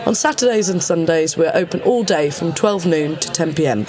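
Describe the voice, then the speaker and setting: A man's voice: fairly high pitch, expressive, a little fast, darkish tone, some chatter behind. A man reading a scripted radio advertisement as its announcer.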